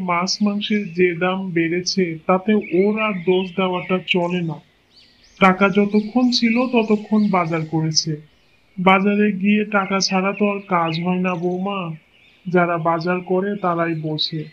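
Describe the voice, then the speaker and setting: A man's voice: high voice, muffled recording, a little hiss or hum. A man voicing a kindly, resigned old village father-in-law in a cartoon story, with a coaxing, homely way of talking. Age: older. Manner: coaxing, homely, repeats himself.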